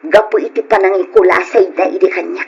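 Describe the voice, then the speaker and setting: A woman's voice: mid-range voice, steady tone, fast talker, gravelly, darkish tone, breathy edge, lo-fi sound. A woman playing a proud, resentful, ambitious daughter in an Ilocano radio drama, speaking with emphatic defiance. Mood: bitter, determined.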